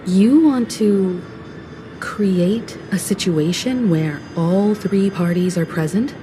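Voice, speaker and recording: An actress playing a poised, gracious starship navigator in a video game, composed and articulate. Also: female voice, medium pitch, takes it slowly, music in the background, natural voice, poor audio. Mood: thoughtful, resolute. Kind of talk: video game cutscene acting.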